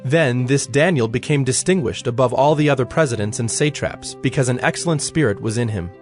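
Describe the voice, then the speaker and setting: A man's voice: medium pitch, a little fast, soft background music. A man narrating a dramatized scripture reading in a scripted storytelling style.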